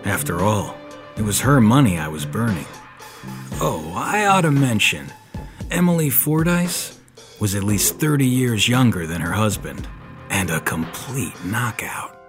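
Low-voiced hard-boiled detective, music behind. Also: male voice, expressive, a little slow. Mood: wry, dry. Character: world-weary, tough 1930s private detective and war veteran. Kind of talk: noir radio-drama narration and dialogue. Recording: studio production with music underneath.

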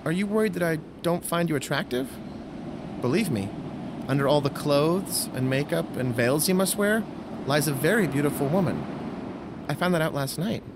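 A man's voice: fairly high pitch, lively intonation, normal speed, background noise. An actor playing an earnest young Roman nobleman and officer in an audio drama, speaking with formal diction. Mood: tender, hurt. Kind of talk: full-cast audio drama acting.

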